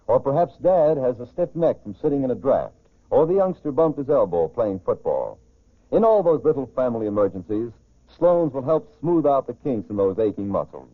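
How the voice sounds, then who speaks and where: A man's voice: mid-range voice, no echo. A man announcing a scripted 1940s radio commercial in a folksy, persuasive way. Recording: narrow, tinny 1940s radio recording.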